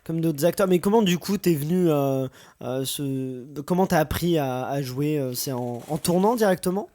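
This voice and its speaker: A man hosting a casual interview, speaking informally and haltingly as he rephrases his questions. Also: a little high, dark tone. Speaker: interview host.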